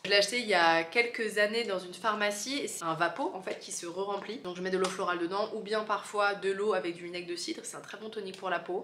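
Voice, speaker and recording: A woman's voice: on the low side, fairly bright tone, slight background noise. Chatty woman with a cold, roomy sound. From France. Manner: chatty, many asides and fillers. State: stuffy with a cold. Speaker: beauty vlogger. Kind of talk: casual chat to camera. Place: her office, indoors.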